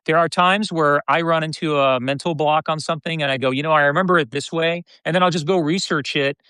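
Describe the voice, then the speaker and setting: An American man in his fifties talking casually as an interview guest, often halting and restarting his phrases. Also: on the high side, fairly even intonation, bright, forceful tone, clean tone, dry recording. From United States (Detroit). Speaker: podcast guest, content creator.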